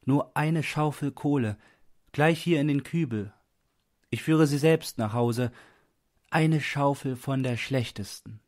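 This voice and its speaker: Man playing freezing poor man, high raised voice, imploring, desperate, loud calling, audiobook story. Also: slow pace, lightly acted. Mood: humble begging. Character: freezing, penniless man, pleading desperately for coal.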